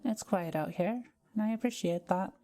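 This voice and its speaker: Woman voicing a mellow, gentle young forest witch. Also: medium pitch, lightly acted. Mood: cozy, friendly. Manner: gentle, unhurried, softly playful. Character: kind, welcoming solitary young forest witch. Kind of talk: roleplay audio drama addressed to the listener.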